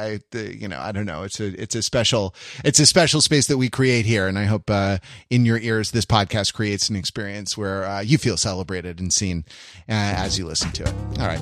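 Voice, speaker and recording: A man's voice: lowish voice, a bit bright, no echo. Rambling, reflective man hosting a dry-sounding podcast. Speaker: podcast host. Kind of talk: casual conversational podcast talk.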